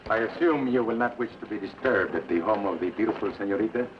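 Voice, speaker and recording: A man's voice: fairly low pitch, fast, muffled recording, close to an everyday voice. A man playing a silky Mexican lieutenant. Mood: sly. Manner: formal, silky. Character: sly Mexican lieutenant, polite and watchful. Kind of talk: 1940s radio acting. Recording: noisy old radio broadcast.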